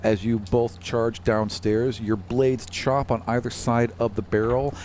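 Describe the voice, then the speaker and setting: A man's voice: medium pitch, flat delivery, a little slow, darkish tone. Man running a tabletop game, noisy background. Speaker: game master. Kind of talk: improvised tabletop game narration and casual banter.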